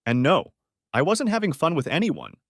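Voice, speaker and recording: A man's voice: fairly high pitch, wildly swooping pitch, normal speed, fairly bright tone, no echo, studio quality, pitched above the performer's own voice, full character voice. A man voicing a sarcastic, wounded husband in a dramatic story reading. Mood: accusing. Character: Steve, sarcastic, wounded husband needling his wife. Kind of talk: audiobook-style dramatic reading of dialogue.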